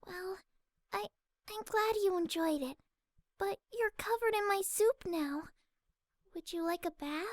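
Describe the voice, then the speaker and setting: A woman's voice: unhurried, pauses often, no room sound, studio quality. A woman voicing a timid, sensitive young female pegasus pony for cartoon fan fiction, speaking hesitantly in a delicate voice. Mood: shy, flustered. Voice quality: soft, high, delicate voice. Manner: hesitant, stammering.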